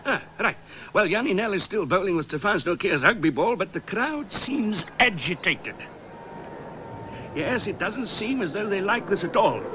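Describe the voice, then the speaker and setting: A man's voice: high voice, lively intonation, moderate pace, bright, forceful tone, a little hiss or hum. A man playing a bumbling, digressive small-town South African cricket commentator in a 1970s radio comedy. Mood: flustered.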